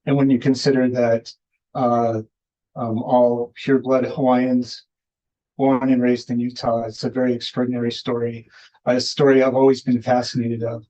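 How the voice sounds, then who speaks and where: An American man giving an informal guest speech in a halting, hesitant way, often restarting his phrases. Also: mid-range voice, level tone, mellow, highs cut off. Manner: halting, hesitant delivery with repeated starts. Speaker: guest speaker, filmmaker. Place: indoor event hall, speaking at a podium.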